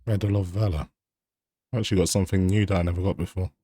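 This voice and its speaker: A man's voice: low voice, steady tone, quick pace, mellow, dry recording, studio quality. A man hosting a gaming channel, giving casual, upbeat commentary on his gameplay.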